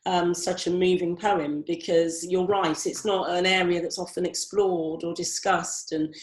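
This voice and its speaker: Woman hosting a video call chat, lowish voice, encouraging, lots of ums, roomy echo. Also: fairly even intonation, a little slow. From Britain, family from Barbados. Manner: friendly, encouraging, filler-heavy. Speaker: event host and competition organiser. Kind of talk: hosting an online interview.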